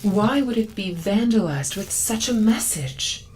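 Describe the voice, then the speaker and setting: An actress playing a devout, earnest female zealot guarding a sacred forest in a video game, reverent, solemn and measured. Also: mid-range voice, lively intonation, darkish tone, slight background noise, natural voice.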